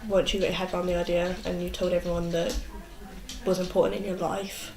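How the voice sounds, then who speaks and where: A woman's voice: fairly low pitch, level tone, fairly quick. A 20-year-old woman talking candidly in a documentary interview, in an informal, plain-spoken way. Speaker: young mother being interviewed. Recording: echoey room with faint background noise.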